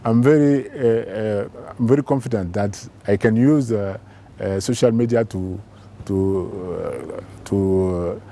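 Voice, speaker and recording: A man's voice: lowish voice, takes it slowly, warm tone. A man, a trainee scientist speaking hesitantly in an interview, stumbling and repeating words. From Côte d'Ivoire. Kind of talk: interview testimonial.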